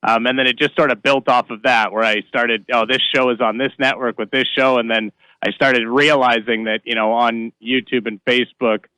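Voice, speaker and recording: Guy from canada telling stories on a talk show, sharp bright voice, no room echo. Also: medium pitch, fairly even intonation, moderate pace, smooth. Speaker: comedian and prank caller, interview guest. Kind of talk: casual storytelling chat.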